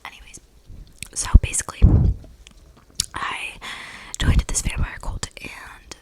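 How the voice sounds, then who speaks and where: A woman's voice: slow pace, lightly acted, rough recording. A woman playing an eccentric, chatty young vampire in a whispered, slangy ASMR roleplay, breathing close to the microphone. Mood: deadpan, gossipy. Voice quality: breathy whisper with creaky edges. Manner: rambling, casual slangy delivery with many likes. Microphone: close microphone with audible breathing.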